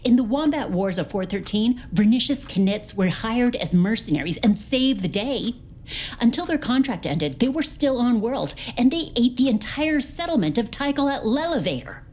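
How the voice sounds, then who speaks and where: An actress playing a brash, suspicious space interrogator who theatrically needles and mangles idioms in a comic audio drama. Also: female voice, on the high side, big pitch swings, normal speed, darkish tone. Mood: incredulous. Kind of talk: comic science fiction audio drama acting.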